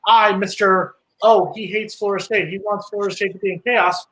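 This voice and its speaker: A man co-hosting a casual sports chat, ranting sarcastically in a high voice. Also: fairly even intonation, a little fast, fairly bright tone, rough recording. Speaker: co-host.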